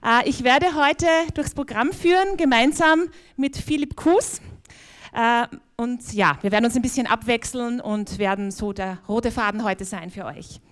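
A woman's voice: on the high side, a little slow. Viennese woman hosting a conference. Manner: friendly, loose spoken welcome with many fillers and restarts. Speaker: conference host. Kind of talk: opening speech at a conference. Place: conference hall.

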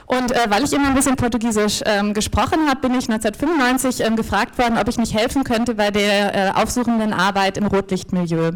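A woman's voice: on the high side, fairly even intonation, fast, few pauses. A woman interpreting a talk consecutively, hesitating often with fillers and restarts. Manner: hesitant, many fillers and restarts while translating.

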